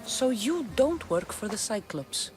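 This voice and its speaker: A woman's voice: fairly high pitch, sing-song, a little slow, noisy background. An actress playing a tough, wry young mercenary woman in a video game, blunt and guarded, with a Greek accent. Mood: suspicious.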